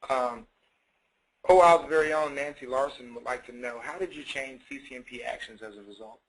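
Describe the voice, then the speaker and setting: A man's voice: medium pitch, fairly quick, poor audio. A man moderating a webinar, casually relaying questions to panelists.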